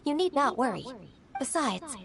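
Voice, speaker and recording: A woman's voice: high-pitched, lively intonation, moderate pace, crowd noise. An actress playing a composed young female official in a game, speaking politely, formally and precisely. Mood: firm. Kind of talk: scripted game dialogue. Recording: game music behind the voice.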